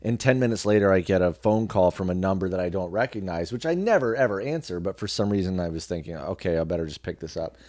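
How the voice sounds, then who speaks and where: Deep-voiced man telling anecdotes casually. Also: lively intonation, quick pace, hardly any pauses, darkish tone, dry recording, clean studio sound. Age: around 40. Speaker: guest, radio personality and actor.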